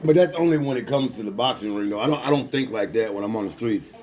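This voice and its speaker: A man's voice: lowish voice, expressive, fast, a little mellow. A man in his forties, an amateur boxer, talking casually in loose, repetitive street slang with lots of restarts. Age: 40s. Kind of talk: casual rambling talk to a companion.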